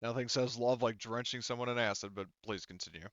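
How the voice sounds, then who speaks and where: Bright, clear-voiced man running a tabletop game. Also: mid-range voice, a little fast, no echo, dull audio. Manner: informal, thinks aloud while adjudicating rules. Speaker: game master. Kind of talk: casual tabletop roleplaying game play.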